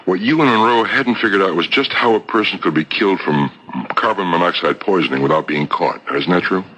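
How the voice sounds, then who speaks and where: An actor playing a shrewd, relentless police detective who methodically lays out accusations in a 1970s radio mystery, on an old broadcast recording. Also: male voice, low voice, fairly quick, pushed and bright, a little hiss or hum, rough recording. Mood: methodical, probing. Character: shrewd, relentless male police detective laying out a murder case.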